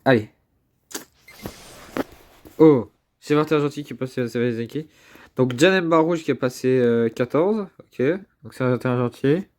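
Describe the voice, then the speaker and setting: A young man with a stuffy cold, giving casual commentary on a mobile game, chatty and excitable, often repeating his words. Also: mid-range voice, normal speed, pauses often. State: congested with a cold.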